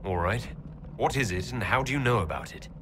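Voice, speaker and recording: A man's voice: fairly low pitch, expressive, a little fast, noisy background, lightly acted. A man playing a young, skeptical elven hero in a game cutscene, speaking in a restrained, blunt and serious way. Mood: guarded, serious.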